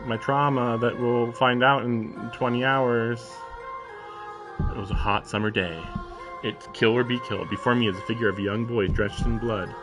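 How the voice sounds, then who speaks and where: A man's voice: medium pitch, steady tone, normal speed, music in the background. A man live-streaming a visual novel, reading it aloud in a mock-dramatic way and breaking off into sarcastic, sometimes profane asides. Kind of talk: live commentary mixed with dramatic read-aloud.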